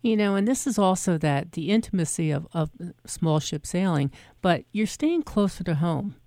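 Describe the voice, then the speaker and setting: A woman's voice: on the low side, lively intonation, moderate pace, warm tone, dry recording, clean studio sound. A woman host with a South Jersey accent, chatting casually as she interviews a guest. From South Jersey, near Philadelphia. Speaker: show host.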